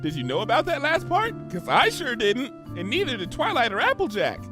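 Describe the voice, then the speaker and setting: A man's voice: very high-pitched, wildly swooping pitch, brisk, over music, pitched above the performer's own voice, full character voice. A man voicing a hyperactive, cheerful young female cartoon pony with a bubbly, gushing, rambling manner. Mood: earnest, chirpy.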